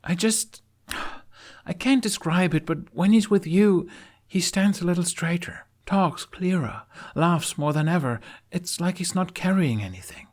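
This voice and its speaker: A man's voice: high-pitched, measured pace, voice pushed up. A man voicing an earnest, stubborn young female knight with an emphatic, imploring delivery in an audio story.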